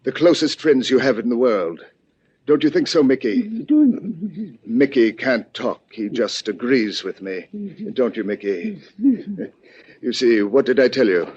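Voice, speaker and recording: An actor playing a smooth, menacing gunman in 1950s radio drama, speaking with formal, mock-courteous phrases and repeating himself. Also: medium pitch, unhurried, long pauses, darkish tone. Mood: mock-polite menace. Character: smooth, menacing gunman, mock-polite, talks to silent henchman. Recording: muffled, noisy old radio recording.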